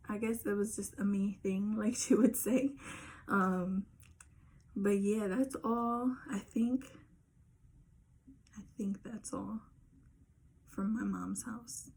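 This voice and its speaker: A woman's voice: medium pitch, darkish tone. A young woman telling viewers a personal story in a casual, earnest way, talking straight to her audience. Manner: earnest, insistent, addresses viewers directly. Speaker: YouTuber.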